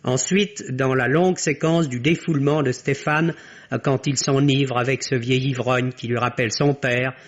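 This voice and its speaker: A man delivering a scripted film review in formal, literary phrasing, as a radio critic. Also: mid-range voice. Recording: dull, lo-fi vintage French radio.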